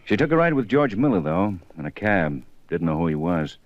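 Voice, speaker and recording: A blunt, matter-of-fact detective with a very low, heavy voice. He presses his questions firmly and never raises the pitch much. The sound is dry and dull, like an old radio broadcast. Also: sing-song, a little fast, no echo. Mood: musing. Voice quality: terse, firm, matter-of-fact. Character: steady, blunt male police detective. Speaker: police detective. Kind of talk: 1950s radio drama acting.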